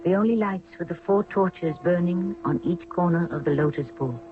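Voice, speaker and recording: A woman's voice: lowish voice, normal speed, close to an everyday voice. An actress playing a devoted young Egyptian queen in a 1970s radio drama, earnest and formal. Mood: earnest storytelling. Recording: narrow, tinny 1970s radio recording.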